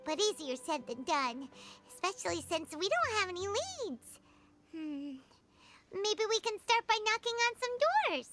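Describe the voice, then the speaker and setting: A woman voicing a tiny, excitable fairy-like companion girl in an anime game dub, with a childish, emphatic way of talking. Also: very high voice, measured pace. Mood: pondering. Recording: clean voice over loud background music.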